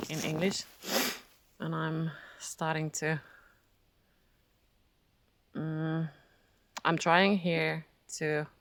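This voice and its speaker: Slow gravelly voice with a Finnish accent. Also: mid-range voice. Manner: hesitant, searching for words, laughs softly often. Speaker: spiritual vlogger. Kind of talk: casual reflective monologue.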